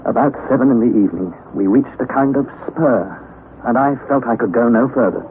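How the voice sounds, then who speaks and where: A young man narrating his adventure in first person for a 1960s radio drama, measured and careful. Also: medium pitch. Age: young adult. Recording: tinny old radio broadcast with hiss.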